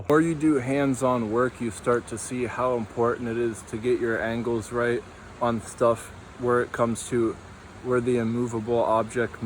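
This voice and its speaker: A man's voice: medium pitch, slight background noise. A young man rambling through a casual, tangential monologue full of fillers, recorded outdoors. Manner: meandering, tangential, many fillers. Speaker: vlogger.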